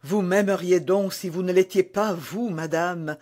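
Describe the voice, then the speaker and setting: A man's voice: high voice, fairly even intonation, a little slow, a little mellow. A man reading the part of a passionate, melancholy young duke in love, ardent and pleading, in a historical romance audiobook. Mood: exultant, loving.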